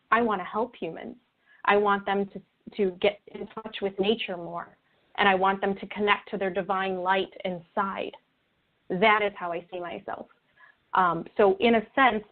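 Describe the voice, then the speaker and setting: Earnest woman on a tinny phone line. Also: medium pitch. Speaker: interview guest. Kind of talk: conversational explaining in an interview. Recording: tinny narrow-band phone or call line.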